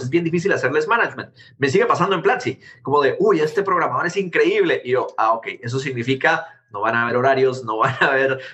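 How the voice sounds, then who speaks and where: A man from Guatemala in his thirties, an interview guest telling his story in a quick, animated conversational way. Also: mid-range voice. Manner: animated, quick, jumps between anecdotes. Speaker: interview guest, tech founder.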